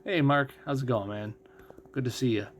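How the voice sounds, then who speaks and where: A man's voice: mid-range voice, moderate pace, a little hiss or hum. A man doing casual live commentary as a game streamer, explaining things conversationally and thinking aloud.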